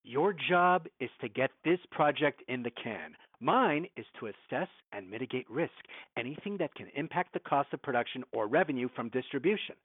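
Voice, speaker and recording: Condescending studio boss on the phone. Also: male voice, medium pitch, lively intonation, normal speed, hardly any pauses, slightly rough, dry recording. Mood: guarded, cutting. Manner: condescending, clipped, authoritative. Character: smooth, evasive, domineering male film studio executive. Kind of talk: modern audio drama acting. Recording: thin phone-line sound, very dry.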